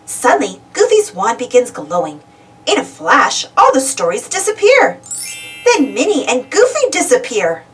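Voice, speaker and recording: A woman reading a children's storybook aloud as an animated narrator. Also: fairly high pitch, moderate pace, background noise. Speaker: storybook reader. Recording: distant mic, music behind.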